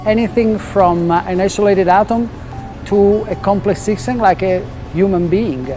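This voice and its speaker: A scientist explaining a synchrotron in simple terms, pausing with fillers, in a documentary interview. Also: male voice, sits high, music in the background.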